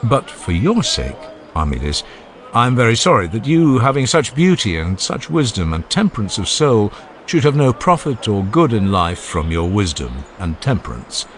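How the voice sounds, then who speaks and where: A man narrating a dramatized classical dialogue in a formal, measured and expressive oratorical manner. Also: fairly low pitch, slight background noise. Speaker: audiobook narrator. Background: ambient sound effects.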